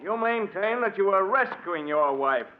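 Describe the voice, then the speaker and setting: An actor playing a stern, sceptical prosecutor questioning formally in 1940s radio drama style. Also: male voice, high-pitched, lively intonation. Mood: accusing, sceptical. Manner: formal, accusing, deliberate. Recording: tinny, narrow old radio recording.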